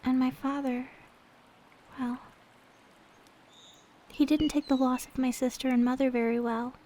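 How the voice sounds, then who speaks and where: High, sweet-voiced gentle maid, acted by a woman. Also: flat delivery, a little slow, slight background noise. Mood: hesitant, wistful. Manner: soft, sweet, tender, hesitant when confiding. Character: gentle, devoted young maid with a sorrowful past. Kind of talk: ASMR roleplay.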